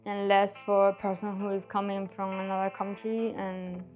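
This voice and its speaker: A woman's voice: medium pitch, flat delivery, moderate pace, muffled recording. A barber shop owner, a woman speaking earnestly and simply about her struggles in a documentary interview. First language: not German. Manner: earnest, heartfelt, simple phrasing. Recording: interview clip with guitar music. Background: guitar music.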